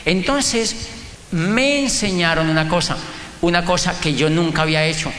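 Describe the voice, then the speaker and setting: A man's voice: sits high, moderate pace, pushed and bright. A middle-aged Colombian man giving an emphatic motivational talk, telling personal stories and putting rhetorical questions to a live audience. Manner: emphatic, asks rhetorical questions, acts out dialogues. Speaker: motivational speaker and business coach. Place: large room or hall before an audience. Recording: echoey hall, faint background noise.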